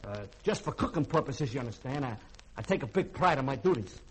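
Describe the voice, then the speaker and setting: A man's voice: medium pitch, animated, fast, noisy background, natural voice. An actor playing a folksy, wheedling male ship's cook who likes his drink, in a 1950s radio drama. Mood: sly, wheedling. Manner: folksy, hesitant, blustery.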